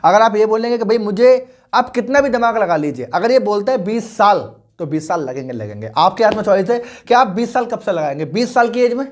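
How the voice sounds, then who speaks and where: A man's voice: high-pitched, animated, a little fast. Persuasive male finance coach. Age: 20s. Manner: persuasive, mixes English into Hindi, asks the listener questions. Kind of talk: motivational finance lesson.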